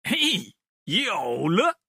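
A man's voice: high voice, wildly swooping pitch, very slow, gravelly, pushed and bright, slightly rough, dry recording, studio quality, heavily acted. A man voicing a greedy, scheming bald woodcutter in a children's story, with a sly, exclamatory cartoon manner. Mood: gloating.

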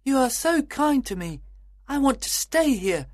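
A meek, polite orphan boy, voice pitched way up, storybook read-aloud. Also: very animated, a little slow, no echo, studio quality, heavily acted. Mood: pleading. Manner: earnest, polite. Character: gentle frightened young orphan boy. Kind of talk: storybook reading.

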